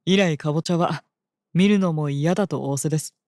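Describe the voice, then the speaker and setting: An actor playing a calm, very polite holy knight and devoted royal bodyguard in a fantasy audio drama. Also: medium pitch, brisk, dry recording, clean studio sound, natural voice. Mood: fond, gentle. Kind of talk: Japanese audio drama acting.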